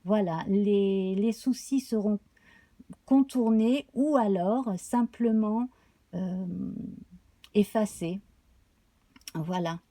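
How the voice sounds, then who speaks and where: A woman reads tarot cards for a live audience, her voice slightly hoarse. She speaks slowly and haltingly, filling pauses with small sounds, in a warm, mellow tone. The recording is dry and close. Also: mid-range voice, no room sound. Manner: slow, halting, many fillers. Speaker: tarot reader. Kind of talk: live tarot card reading.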